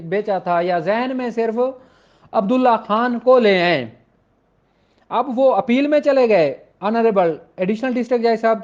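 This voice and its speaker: Male law teacher, notably high voice, informal and insistent explainer who restates each point, dull low-fidelity sound. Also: darkish tone. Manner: emphatic, repeats points for clarity. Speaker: law lecturer. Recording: dull, lo-fi audio.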